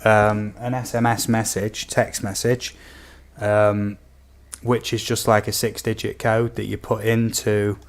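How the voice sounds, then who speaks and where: A man from the UK with a slightly croaky voice, chatting casually and easily to viewers as a livestream host. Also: fairly low pitch, level tone, takes it slowly. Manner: easygoing, chatty, addresses viewers as buddy and guys. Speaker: game streamer, host.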